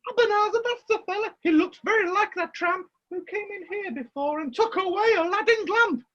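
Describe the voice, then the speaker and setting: Woman playing a very high-pitched pantomime mother. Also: brisk, fairly bright tone, highs cut off. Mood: curious. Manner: exclamatory, stagey. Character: bossy, fretful mother of Aladdin, a washerwoman. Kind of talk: rhyming amateur pantomime acting.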